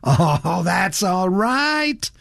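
A man's voice: a little high, sing-song, a little slow, no room sound, clean studio sound, pitched above the performer's own voice. A man voicing a naive, enthusiastic young man in an audiobook story. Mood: easygoing, puzzled.

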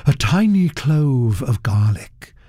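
A woman's voice: rumbling low, very animated, takes it slowly, dark tone, dry recording, studio quality, exaggerated voice. A man voicing a theatrical, absent-minded actress who gushes about food in a languid way. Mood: gushing. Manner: gushing, languid.

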